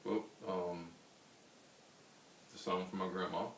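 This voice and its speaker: Low-voiced baritone man, roomy distant sound. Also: flat delivery. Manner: unhurried, rambling storytelling. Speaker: singer-songwriter guest. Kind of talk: casual interview answers. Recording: roomy, distant mic with faint hiss.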